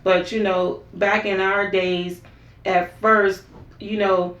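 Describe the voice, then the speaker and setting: A woman's voice: medium pitch, slow pace. A woman in her forties, a talk show guest telling her life story in an earnest, candid way. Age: 40s. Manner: earnest, storytelling, animated when quoting herself. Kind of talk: candid personal interview. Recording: roomy, distant mic, dull sound.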